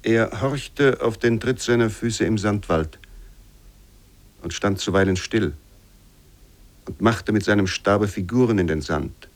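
Actor as a slow, solemn male literary reciter. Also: fairly low pitch, lightly acted. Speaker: reader of literary quotations.

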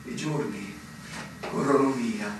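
An actor playing a weary, cynical senior army officer in a theatrical radio play, declamatory, swinging from outbursts to murmured resignation. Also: male voice, mid-range voice, takes it slowly, close to an everyday voice. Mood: weary, resigned. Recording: echoey room, distant mic, faint noise.

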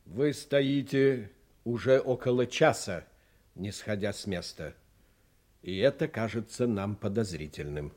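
A man's voice: fairly low pitch, animated, measured pace, darkish tone. A man playing a fussy, eager townsman in an audio drama, speaking in insistent interjections. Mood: suspicious.

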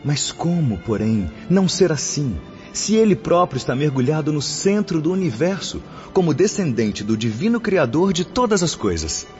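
An actor with a Brazilian accent playing a wise, solemn spiritual instructor in a radio drama, speaking in measured, declamatory phrases. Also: male voice, on the high side, big pitch swings, a little mellow. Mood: solemn, reverent. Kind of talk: radio drama acting, didactic oration. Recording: dull lo-fi audio under music.

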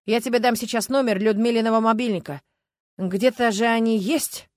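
A woman's voice: on the high side, fairly even intonation, moderate pace, no room sound, clean studio sound, voice pushed up, lightly acted. A woman voicing a casual, chatty male friend on the phone, in an audiobook.

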